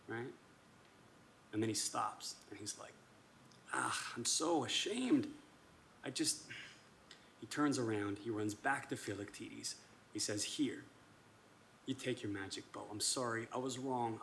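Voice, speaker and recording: A man's voice: mid-range voice, creaky, fairly bright tone. A man acting a hesitant, self-doubting army officer who speaks casually and ramblingly in a naturalistic stage play. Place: indoor performance space. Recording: live stage video with background noise.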